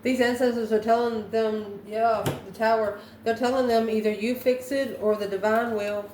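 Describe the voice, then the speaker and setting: A woman giving an intuitive tarot reading to viewers, musing aloud in short, halting phrases. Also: a little high, fairly even intonation, darkish tone. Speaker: tarot reader, host. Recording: roomy echo, distant mic, background noise.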